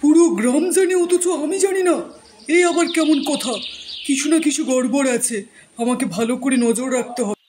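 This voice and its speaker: A man's voice: very high voice, normal speed, a little mellow, a little hiss or hum. A man voicing curious village men in a Bengali cartoon moral story, with music underneath. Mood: surprised, curious.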